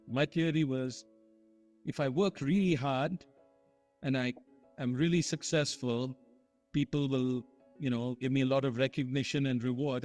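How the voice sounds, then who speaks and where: A man's voice: mid-range voice, darkish tone. A man speaking in a played video, telling a candid personal story in a reflective way. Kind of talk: reflective talk.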